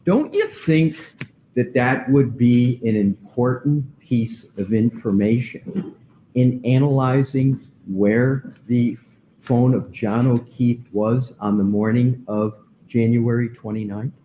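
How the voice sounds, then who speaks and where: A male defense attorney cross-examining a witness in court, asking slow, pointed, deliberate questions. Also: mid-range voice, dark tone, a little hiss or hum, low-quality recording.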